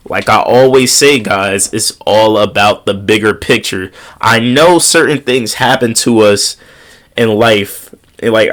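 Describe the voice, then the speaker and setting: A man's voice: medium pitch, fairly bright tone. Young guy, stuffy from a cold, talking motivation outside, says stuff twice to drive it home, slangy and earnest. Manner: repeats key phrases for emphasis, slangy and earnest. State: congested with a slight cold. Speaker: motivational vlogger. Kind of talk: casual motivational talk to viewers. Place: outdoors on a windy day.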